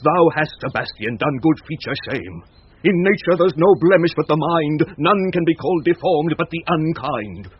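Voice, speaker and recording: An actor playing a passionate, betrayed sea captain, grave and declamatory, in a Shakespearean radio drama. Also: male voice, a little high, lively intonation, moderate pace, slightly rough. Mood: anguished, bitter. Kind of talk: impassioned Shakespearean radio acting. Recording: dull, band-limited 1970s radio recording.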